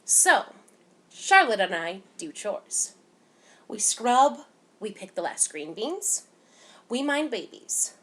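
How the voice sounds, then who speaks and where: A woman reading a picture book aloud to children in an expressive, animated way, giving emphasis to the dialogue. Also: mid-range voice, slow, long pauses. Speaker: children's story time reader.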